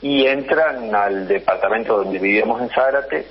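A man's voice: fairly low pitch, moderate pace, slightly rough. A man in his forties with an Argentine accent, a guest telling his family story at home, hesitant and full of fillers and repeated yeses. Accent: Argentine Spanish. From Argentina, Paraná, Entre Ríos. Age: 40s. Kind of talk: phone interview, personal story. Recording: dull lo-fi remote line.

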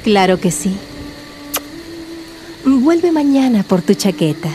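A woman playing a pale, melancholy young woman in a mystery radio play, soft-spoken, reserved and evasive. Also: medium pitch, very animated, slow pace, a little hiss or hum. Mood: gently evasive. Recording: music bed behind the voice.

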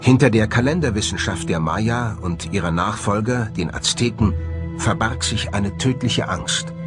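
Man, low voice, measured documentary narration, articulate delivery, prominent music behind. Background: prominent background music.

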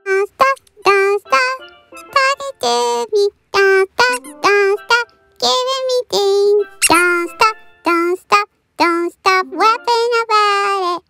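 A woman voicing a childlike, naive, excitable fluffy pony in a cartoon, talking in babyish broken grammar. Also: very high-pitched, slow, long pauses, over music, voice pushed up, heavily acted. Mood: whiny, insistent. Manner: baby talk in broken grammar. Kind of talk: cartoon comic dub.

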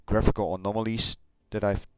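A middle-aged man with a Dutch accent, chatting loosely through a replay of his racing game run, halting and correcting himself as he goes. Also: fairly low pitch, little pitch movement, smooth, dry recording, studio quality. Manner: halting, rambling, self-correcting. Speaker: sim racing streamer. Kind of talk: casual replay commentary. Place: home gaming room.

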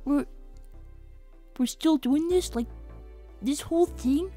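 A woman voicing a bright, earnest twelve-year-old boy fox inventor in a fan-made cartoon, chiding and exasperated. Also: high-pitched, slow, soft background music. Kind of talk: fan-made animated series voice acting.

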